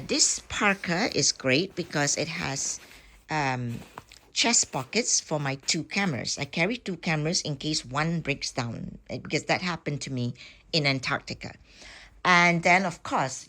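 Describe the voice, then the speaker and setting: A woman's voice: low-pitched, pushed and bright. A woman from Malaysia talking casually and plainly through things step by step, as a solo travel vlogger. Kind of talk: casual packing vlog. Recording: distant mic, slight background noise.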